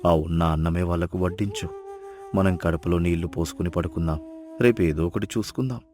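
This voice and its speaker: A man voicing a poor, kind and self-sacrificing village husband in a moral story, earnest and gentle. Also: sits low, a little fast, music in the background, lightly acted. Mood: earnest, reassuring. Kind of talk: storybook dialogue acting.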